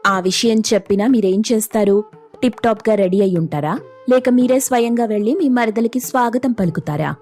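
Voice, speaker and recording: A woman's voice: on the high side, fairly quick, darkish tone, soft background music, natural voice. A woman playing an anxious, jealous wife and elder sister who scolds and bosses in a family story. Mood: sarcastic. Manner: scolding, suspicious.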